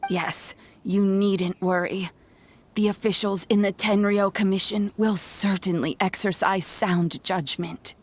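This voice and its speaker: An actress playing a kindly, proud old granny in a video game, speaking gently and reassuringly. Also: female voice, mid-range voice, fairly even intonation, soft background music, natural voice. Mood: fond, reassuring. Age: older. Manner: unhurried, gentle, grandmotherly.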